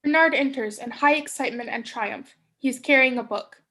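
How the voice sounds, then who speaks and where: Young woman, high voice, scripted narration, stage directions reader. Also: fairly quick, bright, forceful tone. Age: young adult.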